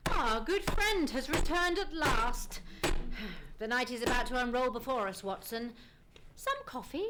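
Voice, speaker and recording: An actor playing a commanding, precise gentleman detective in an old-time radio play, with formal, emphatic diction. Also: high-pitched, a little slow, background noise. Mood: musing, confiding. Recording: live stage radio play recording.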